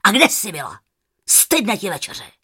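A woman's voice: a little high, sing-song, bright, forceful tone, full character voice. A woman voicing a grumpy old aunt from a children's story, snappish and scolding. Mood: irritated.

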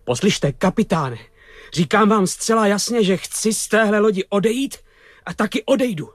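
A man's voice: high-pitched, expressive, no echo, studio quality. A man playing a hot-headed, honest boy sailor in a dramatised story, speaking insistently and defiantly.

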